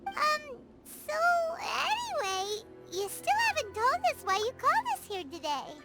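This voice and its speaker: A woman voicing a small, excitable, childlike fairy companion, chirpy and blunt, in a video game cutscene. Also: extremely high pitch, measured pace, full character voice. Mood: curious. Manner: speaks of herself in the third person, expressive. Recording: loud music under the voice.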